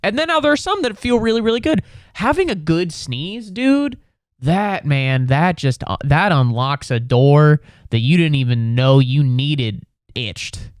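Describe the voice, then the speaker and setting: A young man in his late twenties rants into a close, dry mic at home, sick with a cold and swearing freely. He talks slowly and drags out his words, then his pitch leaps wildly up and down as he gets worked up. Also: lively intonation, no room sound. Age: 20s. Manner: profane, exasperated ranting with sudden high-pitched outbursts. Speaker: comedian podcast host. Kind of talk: ranting comedic monologue. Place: home room.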